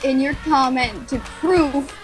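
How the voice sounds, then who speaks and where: A woman with a very high voice reads a journal aloud, stumbling and apologetic between lines. Faint music plays underneath. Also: slow. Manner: giggly, apologetic, stumbles over words. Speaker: reader. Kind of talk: reading a journal aloud with commentary.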